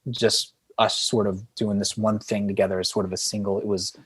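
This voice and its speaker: A man's voice: fairly low pitch, flat delivery, a little fast, slightly rough. Man telling band stories casually. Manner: rambling, peppered with like and you know. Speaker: podcast guest, indie band frontman. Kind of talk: casual conversational storytelling.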